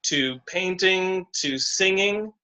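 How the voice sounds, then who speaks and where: A man giving a slow, deliberate, encouraging astrology reading on a video call. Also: high voice, bright, forceful tone. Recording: dull lo-fi video call audio.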